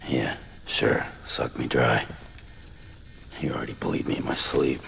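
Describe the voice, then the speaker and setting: A very deep, gravelly courier of few words. Music plays behind him. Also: male voice, fairly even intonation, measured pace, fairly bright tone, slight background noise, exaggerated voice. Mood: gruff. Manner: terse, mumbled and guarded. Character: gruff, terse male courier. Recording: music bed under the voice.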